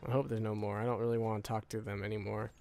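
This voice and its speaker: A man's voice: on the low side, flat delivery, rapid-fire, vocal fry, darkish tone, soft background music. A man giving casual, rambling let's-play commentary, sounding tired with a worn voice, repeating phrases to himself. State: tired, voice wearing out. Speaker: gaming video creator.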